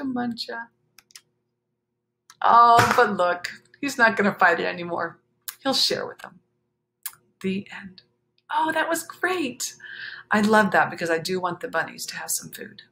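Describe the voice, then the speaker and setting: Female children's story reader, fairly high voice, unhurried and dramatic, slipping into chatty asides. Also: creaky, bright, forceful tone. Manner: dramatic, drawn-out phrasing with exclamations and gasps. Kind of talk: expressive picture book read-aloud with chatty asides.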